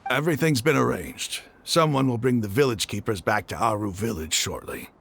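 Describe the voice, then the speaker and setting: An actor playing a gruff but easygoing male desert mercenary in a video game, gravelly and plain-spoken. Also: medium pitch, fairly quick, fairly bright tone. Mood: assured.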